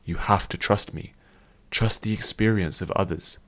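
A man as a patient old healer, low-pitched. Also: a bit bright. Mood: reasoning. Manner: measured, reasoning, reassuring. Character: calm, patient, kindly old male healer.

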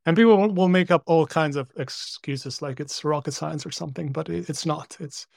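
A man's voice: fairly high pitch, moderate pace, creaky, a little mellow, dry recording. A man with a somewhat raised voice speaks as a panel guest. He argues his point plainly and with conviction, and the sound is dry and close. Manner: argumentative, matter-of-fact. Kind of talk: casual discussion.

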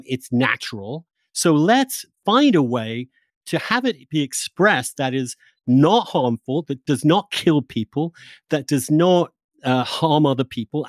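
A man's voice: mid-range voice, no room sound. Discursive man hosting a close-miked podcast. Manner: discursive, informal, mildly sweary. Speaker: podcast host. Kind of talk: conversational intellectual interview.

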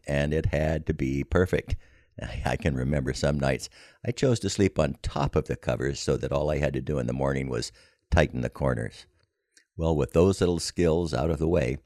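An older American man telling a personal story in a scripted, wry, folksy and measured way. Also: very deep voice, creaky, warm tone, no echo, studio quality. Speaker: podcast storyteller.

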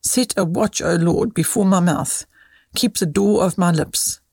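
A woman reading as a kindly older male cousin who tells children moral tales in an instructive, measured way. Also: fairly low pitch, darkish tone, breathy edge, dry recording. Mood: earnest.

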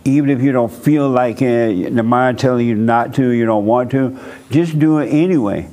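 A man's voice: mid-range voice, moderate pace, dark tone. A man hosting a church counseling session, talking bluntly and preachily with a dialect, often laughing at his own points. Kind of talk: blunt counseling talk to a congregation.

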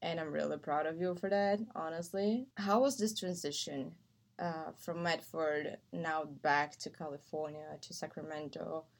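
A woman's voice: low-pitched, steady tone, a little slow. A woman whose first language is Portuguese, hosting a podcast interview in an encouraging, chatty way. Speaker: podcast host. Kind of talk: casual interview talk.

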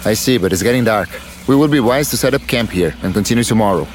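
An actor playing a cautious, grave male expedition guide in an audio drama, measured and foreboding. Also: lowish voice, animated, slight background noise, lightly acted. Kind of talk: immersive audio drama acting.

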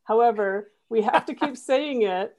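A woman's voice: fairly high pitch, unhurried, a little mellow. A woman guesting on a podcast, chatting casually in an upbeat, encouraging way. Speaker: guest, comedy fiction podcaster. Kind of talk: casual interview chat.

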